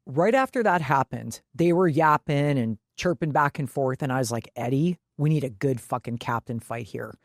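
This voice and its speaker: A woman's voice: a bass voice, expressive, moderate pace, hardly any pauses, dry recording, clean studio sound. A woman co-hosting a casual sports podcast, brash and salty, swearing freely. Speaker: podcast co-host. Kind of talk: casual, animated sports chat.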